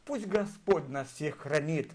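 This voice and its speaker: A man speaking as a guest on a talk programme, halting but emphatic in delivery. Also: a little high, animated, a little mellow. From Gagauzia, Moldova. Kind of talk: talk show discussion and verse recitation.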